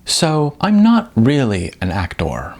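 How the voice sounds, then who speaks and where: A man's voice: mid-range voice, wildly swooping pitch, slow pace, darkish tone, full character voice. A man voicing a shy but pretentious amateur writer-director in an audiobook, with stilted, affected, pompous phrasing. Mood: earnest, stilted.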